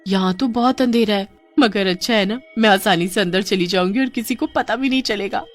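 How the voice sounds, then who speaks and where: A woman voicing a headstrong, excitable young girl in a cartoon, exclaiming in a childlike way. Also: medium pitch, fairly quick, natural voice. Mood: curious. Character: headstrong movie-mad young girl, boastful yet easily frightened. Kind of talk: Hindi cartoon voice acting. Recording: music under the voice.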